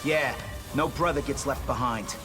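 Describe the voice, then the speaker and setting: A man's voice: medium pitch, normal speed, close to an everyday voice. A man voicing a cocky, tough, wisecracking teenage mutant turtle in a video game. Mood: enthusiastic. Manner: casual, brash, wisecracking. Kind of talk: video game cutscene acting. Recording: loud music behind the voice.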